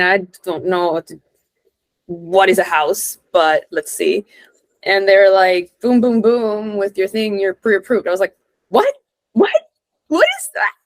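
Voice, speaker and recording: A young adult woman from Honduras chatting casually as an interview guest, informal and expressive, peppering her stories with "like". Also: mid-range voice, creaky, no room sound. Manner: informal and expressive, peppered with "like", acts out quoted lines. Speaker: guest, real estate investor and entrepreneur.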